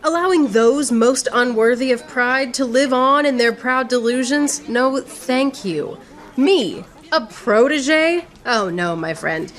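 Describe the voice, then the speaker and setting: Woman acting a proud, high-voiced swordswoman poet. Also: slow pace. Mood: proud, defiant. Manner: theatrical, sharp-tongued. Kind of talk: radio theatre acting in rhymed verse.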